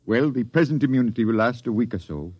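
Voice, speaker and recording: An actor playing an apologetic older ship's doctor in a 1950s radio drama, husky, hesitant and deferential. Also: male voice, medium pitch, animated, fast talker, no room sound, natural voice. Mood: grave, contrite. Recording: dull, dry vintage radio recording.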